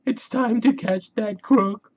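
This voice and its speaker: A man voicing an excitable, cowardly young male cartoon sleuth who talks in slangy exclamations. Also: high-pitched, slow pace, lo-fi sound, voice pushed up, heavily acted. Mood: scared, urgent. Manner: slangy, exclamatory, peppers talk with "like".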